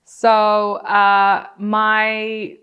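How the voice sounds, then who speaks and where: Young woman doctor chatting on a podcast, clear smooth voice, goes into detail. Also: medium pitch, extremely slow, highs cut off. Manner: explains at length in a relaxed, chatty way. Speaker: guest doctor. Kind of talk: casual podcast interview.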